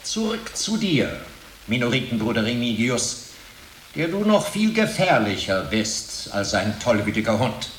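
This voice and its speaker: Pompous medieval inquisitor, echoing room. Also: male voice, mid-range voice, big pitch swings. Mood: menacing accusation. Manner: pompous, rhetorical, deliberate. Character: suave, menacing medieval inquisitor, coldly righteous prosecutor. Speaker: inquisitor in a radio play. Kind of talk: German radio drama acting. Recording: echoey room, faint background noise.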